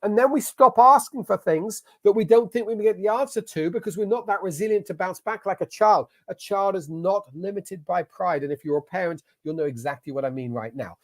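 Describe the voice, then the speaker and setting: A man's voice: high voice, brisk, hardly any pauses. A man with an English accent giving an online masterclass as a business coach, talking in an emphatic, motivational way. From England. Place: at a computer sharing his screen.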